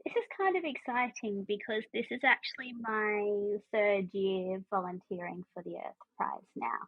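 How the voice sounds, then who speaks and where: A woman's voice: medium pitch, measured pace, dry recording. A young woman from Australia with an Australian accent, a university student chatting through interview answers with frequent ums. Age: young adult. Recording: dry, tinny phone-like line.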